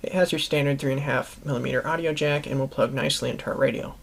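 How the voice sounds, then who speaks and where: A man's voice: medium pitch, fairly even intonation, quick pace, gravelly, husky. A man hosting a how-to video, giving instructional narration to viewers. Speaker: host of a how-to product video series.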